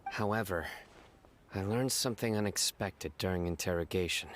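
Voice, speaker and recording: An actor playing a stern, grave young judge in a game, speaking tersely and measured. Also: male voice, low voice, a bit bright, slight background noise. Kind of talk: game dialogue. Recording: game audio with music behind.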